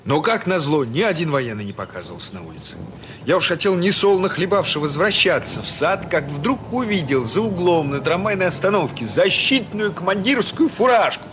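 A man playing a kind, concerned young man, narrating a children's story in an expressive, conversational way. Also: high voice, brisk, a crowd in the background. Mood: recounting, animated. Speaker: narrator and lead actor.

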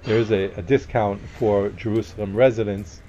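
A man narrating a casual walking tour outdoors as a tour guide, relaxed and full of fillers and restarts. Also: lowish voice, normal speed, dull audio, a little hiss or hum. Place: outdoor market compound with wooden walkways.

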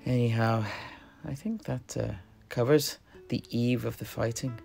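A man's voice: medium pitch, steady tone, measured pace, vocal fry, a little mellow, soft background music. A man voicing a genial, hesitant alchemist and truthsayer telling fantasy lore in character, chatty and given to exclaiming. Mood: storytelling.

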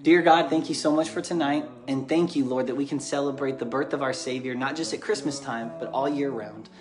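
Man, thirties, American accent, father reading bedtime stories and talking to viewers; gentle, encouraging delivery, roomy home recording. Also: medium pitch, steady tone, fairly quick. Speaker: father and children's book author reading aloud. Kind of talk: bedtime story reading and casual chat to viewers. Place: living room at home, indoors. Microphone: camera or phone propped just beyond arm's length. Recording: echoey living room, music behind.

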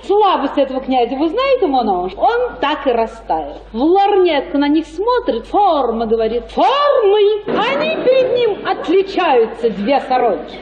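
An actress playing a vain, gossipy provincial colonel's wife in a radio play, exclamatory, colloquial and indignant. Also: female voice, high voice, very animated, a little slow, hardly any pauses, reverberant, lo-fi sound, a crowd in the background. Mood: scandalized.

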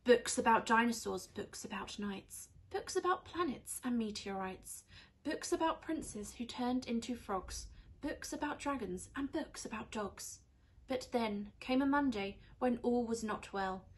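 A young woman reads a rhyming children's storybook aloud in an expressive, teacherly way. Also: a little high, unhurried. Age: young adult. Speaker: primary school teacher reading aloud. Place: small indoor room on a sofa.

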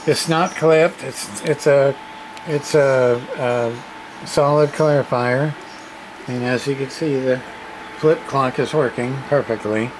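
A man's voice: mid-range voice, takes it slowly. A man casually narrating a hands-on radio repair demonstration in terse, offhand, folksy fragments. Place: indoor home workshop bench. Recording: noisy room with radio static. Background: CB radio noise.

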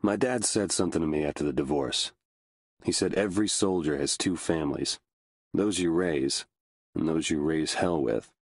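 A man playing a weary, haunted American squad leader, narrating a war video game in a brooding, measured, introspective way. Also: rumbling low, husky, no room sound, clean studio sound, exaggerated voice. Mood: somber, weary. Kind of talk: somber scripted game narration. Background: music.

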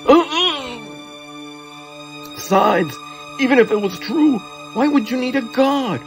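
A man's voice: very high voice, lively intonation, slow, gravelly, music in the background, voice pushed up, exaggerated voice. A man voicing a desperate young fugitive who talks tough in street slang. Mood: threatening.

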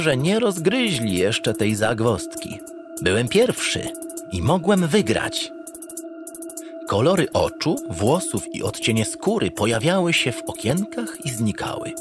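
Cocky, animated man narrating a comedy. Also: mid-range voice. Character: cheerful, cocky, dim-witted male spaceship captain. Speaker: actor narrating an audio drama. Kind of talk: comedic first-person scripted narration.

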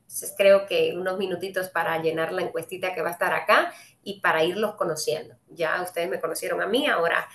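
A woman with a Cuban Spanish accent hosts a live online trading webinar, greeting her viewers warmly and speaking straight to them. Her voice sits fairly low, and she fills her pauses with frequent "eh"s. The sound has a noticeable echo from the room around her computer mic. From Cuba. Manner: friendly, addresses viewers directly, frequent "eh" fillers.

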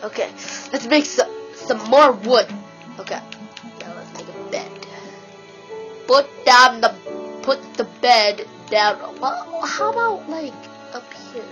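A woman with a stuffy nose gives casual, rambling commentary while she plays a video game, excitedly talking to herself. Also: on the high side, takes it slowly. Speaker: let's play gamer. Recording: dull lo-fi with game music.

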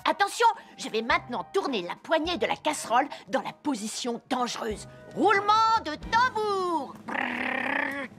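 Un garçonnet fanfaron, très aigu. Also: female voice, sits high, sing-song, unhurried, gravelly, over music, full character voice. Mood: showy, bossy. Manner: theatrical, showy. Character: boastful, bossy little boy playing daredevil. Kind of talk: children's cartoon dub.

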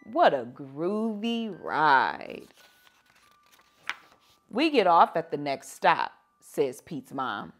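A woman reading picture books aloud to children like a teacher, with animated, drawn-out emphasis. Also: sits low. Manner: animated, drawn-out emphasis, asking listeners questions. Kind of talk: expressive children's story read-aloud.

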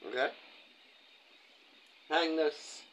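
A man's voice: on the high side, steady tone, extremely slow, fairly bright tone. A young man talking into a webcam in a small dim room, giving orders to someone off camera. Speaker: vlogger. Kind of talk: rambling webcam vlog. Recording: clear background noise.

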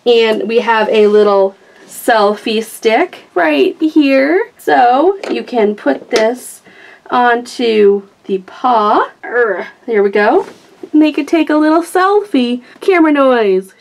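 A woman's voice: a little high, animated, slow, gravelly, a little hiss or hum. A woman hosting a casual toy review, talking through it in an enthusiastic, gushing manner. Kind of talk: casual unboxing and demonstration chat.

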